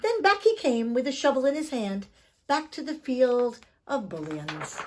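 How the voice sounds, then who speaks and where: A woman's voice: sits high, big pitch swings, a little slow, a little hiss or hum. A woman storyteller reading a children's book expressively, in a theatrical manner. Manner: theatrical, with hushed asides to the listener.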